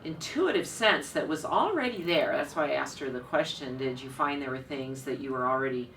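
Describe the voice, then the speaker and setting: A middle-aged woman with a low voice and a bright, forceful edge speaks assertively and enthusiastically. She is miked from some distance, with a faint hum of background noise. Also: expressive, fairly quick. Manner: assertive, enthusiastic, self-described louder voice. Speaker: founder of a styling program. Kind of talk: conversational interview.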